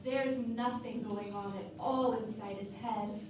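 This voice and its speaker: A woman's voice: mid-range voice, steady tone, measured pace, close to an everyday voice. A woman playing a wondering, bluntly insulting ship's psychic in a comedic parody radio play. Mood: detached, scornful. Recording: very echoey, distant mic, noisy.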